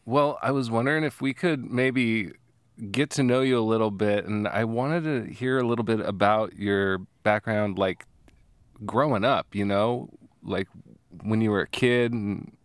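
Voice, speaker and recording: Low-voiced man hosting a casual interview. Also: clean tone, no echo, studio quality. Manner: relaxed, hesitant, many fillers and restarts. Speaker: podcast host.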